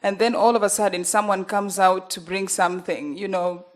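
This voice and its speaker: A young woman with a Ugandan accent talks at a panel in an earnest, halting way. Her voice sits in a middle pitch, and she often stops on fillers before going on. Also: steady tone. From northern Uganda. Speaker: journalist panelist. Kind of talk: panel talk.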